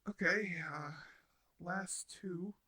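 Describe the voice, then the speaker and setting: A man's voice: sits high, drawn-out words, bright, forceful tone, full character voice. A man playing a shy, flustered, kindly robot roommate in an intimate audio drama, speaking hesitantly with stammers. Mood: gentle instructing. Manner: stammering, hesitant, nervous laughs, sighs. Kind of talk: intimate roleplay audio drama addressed to the listener.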